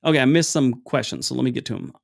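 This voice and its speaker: A man with a deep voice hosting a casual livestream, answering chat questions in a rambling, chatty way with many restarts. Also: animated, fast, gravelly. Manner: acts out both sides of an anecdote with raised pitch. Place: room at home. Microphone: small wireless clip mic.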